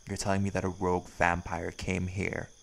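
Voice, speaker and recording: Deep voice, heavy gravel, brash werewolf hunter, acted by a man, gruff threats, audio drama, faint noise. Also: monotone, normal speed. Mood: menacing. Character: brash, menacing male werewolf hunting a vampire. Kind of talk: dramatic audio roleplay acting.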